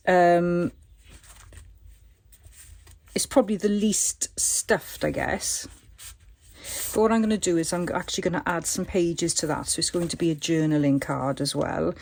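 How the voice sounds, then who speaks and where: A woman with a fairly low, slightly croaky voice talks through a craft project in a relaxed, chatty way, thinking aloud as she goes. Soft clicks from her materials and a faint hiss sit behind her. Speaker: crafting tutorial host. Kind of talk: casual craft demonstration.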